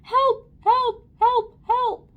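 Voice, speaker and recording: A woman's voice: extremely high pitch, expressive, moderate pace, phone-quality sound, pitched above the performer's own voice. A woman voicing a panicky, exasperated man in a children's storybook. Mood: panicked.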